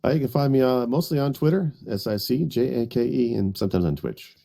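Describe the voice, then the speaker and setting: A man's voice: medium pitch, lively intonation, fairly quick, gravelly, mellow. A man chatting casually and giving opinions as a podcast panelist.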